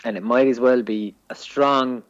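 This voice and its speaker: A man with a mid-pitched voice joins a podcast remotely, with dull audio and no room echo. He delivers casual comic chat with emphatic mock seriousness. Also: steady tone, normal speed. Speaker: podcast co-host. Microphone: remote call into podcast.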